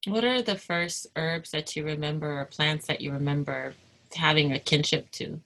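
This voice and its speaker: A woman's voice: low voice, fairly even intonation, moderate pace, fairly bright tone, rough recording. A woman hosting an interview, chatty and casual with slang and easy laughter. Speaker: interview host.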